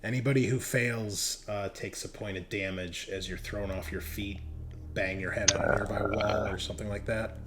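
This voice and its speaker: A man's voice: lowish voice, steady tone, normal speed, crowd noise. A man narrating a tabletop horror game as game master in a casual, conversational way.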